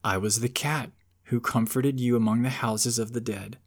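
A man voicing a huge lion with a large, deep, quiet voice, calm and solemn. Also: close to an everyday voice. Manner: slow, hushed, solemn.

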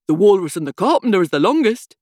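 A woman voicing an eager, brisk, emphatic little round man from a storybook. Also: medium pitch, sing-song, a little mellow, dry recording, clean studio sound.

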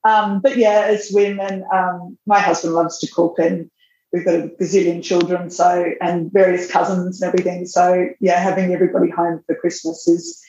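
A woman's voice: fairly low pitch, flat delivery, moderate pace, warm tone. A middle-aged woman, a magazine editor, talking casually in a podcast interview, laughing often and restarting her sentences. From Australia. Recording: roomy, dull remote audio.

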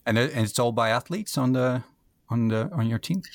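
A man's voice: medium pitch, normal speed, creaky, no echo, clean studio sound. Easygoing male podcast co-host. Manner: easygoing, laughs readily. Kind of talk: casual podcast chat.

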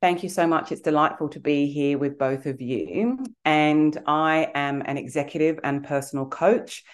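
A woman's voice: deep voice, fairly even intonation, moderate pace. A woman in her forties with an English accent tinged with Australian, speaking earnestly and thoughtfully as an interview guest. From England. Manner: thoughtful, earnest, with soft fillers. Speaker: guest, executive coach. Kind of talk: reflective interview talk.

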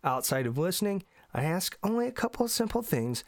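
A man's voice: high voice, sing-song, brisk, dry recording, studio quality. A middle-aged man from Indiana, congested from allergies, hosting a solo podcast in an earnest, emphatic way. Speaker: podcast host.

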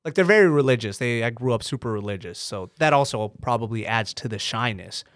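A young male stand-up comedian from Canada, talking in a loose, joking way with crude asides. His voice sits at an ordinary pitch. The recording is dry and close, with no room sound. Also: expressive, brisk, fairly bright tone, no room sound. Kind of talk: casual podcast chat.

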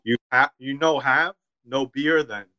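A man telling a story and voicing an angry, stubborn old Korean shopkeeper who yells in terse broken English. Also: mid-range voice, measured pace, voice pushed up, low-quality recording. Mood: stubborn, defiant.

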